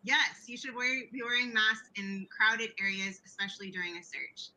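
A woman with a fairly high, extremely bright voice. She answers an interviewer as a health adviser, offering practical recommendations. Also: normal speed, poor audio. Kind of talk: interview answers giving advice.

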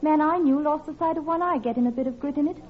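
A woman's voice: high-pitched, quick pace, lightly acted. An actress playing a helpful, chatty refreshment-room counter girl in an old-time radio drama, deferential to customers. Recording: tinny, narrow vintage radio recording.

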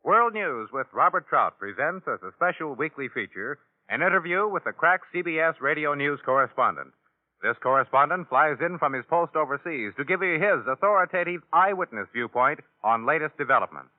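A man's voice: fairly high pitch, animated, brisk, dry recording. An American man working as a radio announcer, reading in a polished, emphatic 1950s broadcast style. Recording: vintage radio, tinny band-limited, dry.

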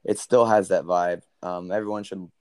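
A young man with a very low, smooth baritone voice tells a story in an easygoing interview. He rambles a little, leaning on ums and you-knows. The sound is close and dry. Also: moderate pace, dry recording. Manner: relaxed, rambling with many fillers. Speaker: country singer, guest.

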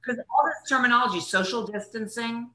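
A woman's voice: fairly high pitch, brisk, bright, forceful tone. A woman chatting casually as an interview guest, with an emphatic, talkative way of speaking. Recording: roomy, echoing sound.

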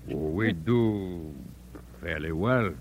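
An actor in an old radio drama playing a crooked saloon boss, smooth and obsequious to his betters, bossy to underlings. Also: low-pitched, takes it slowly, a little hiss or hum. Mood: fawning.

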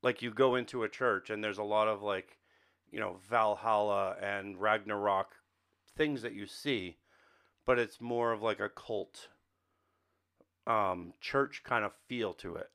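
A man's voice: on the low side, a little slow, a bit bright, clear, resonant tone. A man co-hosting a podcast, chatting casually about films and games. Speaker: podcast co-host. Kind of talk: casual film and game discussion.

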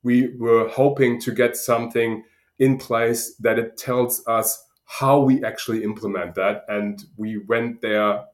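A middle-aged man from Germany with a German accent, answering interview questions in a halting way with many fillers. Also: medium pitch, fairly even intonation. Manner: halting, many ums and uhs. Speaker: guest researcher. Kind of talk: podcast interview.

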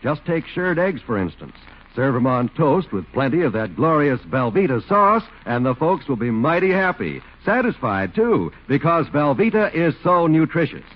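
A man's voice: a little high, very animated, moderate pace. A man announcing a scripted 1950s radio commercial with folksy, emphatic salesmanship. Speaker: announcer reading a sponsor's ad. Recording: dull old radio recording.

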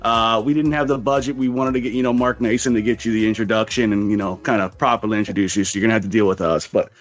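A man hosting a casual interview, speaking in an informal, halting, familiar way, on slightly dull audio. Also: lowish voice, fairly even intonation, soft background music. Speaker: podcast host.

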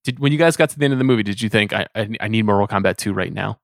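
A man's voice: on the low side, racing, creaky, no echo, studio quality. A man hosting a casual panel discussion on a podcast. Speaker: podcast host.

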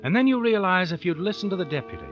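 An actor playing an all-knowing, sinister narrator in an old radio suspense drama, ominous and insinuating. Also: male voice, high voice, big pitch swings, fast, faint music behind. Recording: muffled vintage radio recording.

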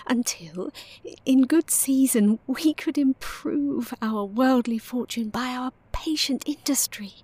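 An actress playing a heartbroken, gently resolute young fiancée in an audio drama, breathy and formal in her delivery. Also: on the high side, full character voice.

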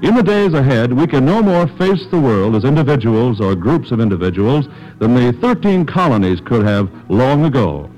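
A man's voice: mid-range voice, big pitch swings, moderate pace, darkish tone, low-quality recording. An American man reading scripted announcements in an earnest, formal, persuasive manner on a vintage 1940s radio broadcast.

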